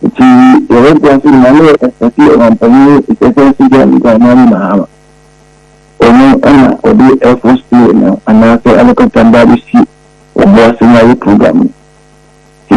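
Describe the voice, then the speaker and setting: A man's voice: medium pitch, expressive, moderate pace, gravelly, warm tone, no room sound, dull audio. A man from Ghana with a Ghanaian accent, giving his account as an interviewee. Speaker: interviewee, party organizer.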